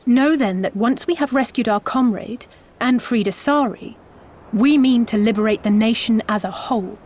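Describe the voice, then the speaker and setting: An actress playing a composed, daring rebel envoy in a video game, speaking in a measured, formal, persuasive way. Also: female voice, a little high, a little mellow, lightly acted. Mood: resolute. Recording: background music.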